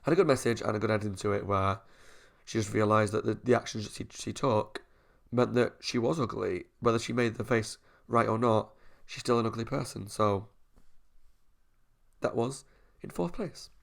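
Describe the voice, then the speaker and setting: A man's voice: lowish voice, moderate pace, gravelly, pauses often. A man, a YouTube reviewer talking through his opinions in a casual monologue, thinking aloud as he weighs them.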